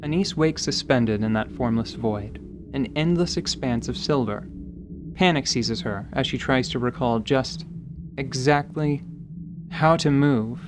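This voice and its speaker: A man reading scripted dramatic fantasy narration in a measured, literary, deliberate manner. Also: medium pitch, music in the background.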